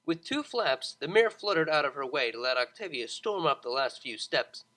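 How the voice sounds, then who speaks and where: Bright, animated story narrator. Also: medium pitch, normal speed. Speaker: fan fiction audiobook narrator. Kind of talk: expressive scripted story narration.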